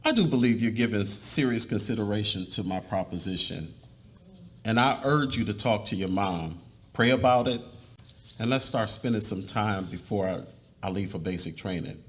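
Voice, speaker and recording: A man playing an earnest, devout young suitor in a live dramatic reading, speaking in a measured, persuasive way. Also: fairly low pitch, animated, fairly bright tone. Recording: noisy live room recording.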